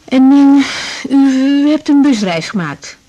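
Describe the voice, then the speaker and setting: Young woman doctor, radio drama: slightly high pitch, cautious, courteous, probing, hesitant. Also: lively intonation, measured pace, mellow. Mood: probing, uneasy. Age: young adult. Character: cautious young female doctor questioning a patient.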